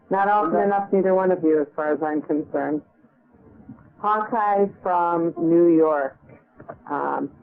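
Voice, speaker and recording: A male radio host with a somewhat high voice reads out listener questions, stumbling and correcting himself. The sound is thin and phone-like, from an old radio recording. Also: clean tone.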